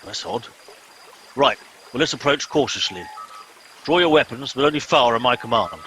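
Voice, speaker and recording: A man's voice: medium pitch, lively intonation, moderate pace, a bit bright, slight background noise, natural voice, low-quality recording. A man playing a pompous, officious and easily exasperated captain in a comic radio play. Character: pompous, impatient male captain of bumbling crew.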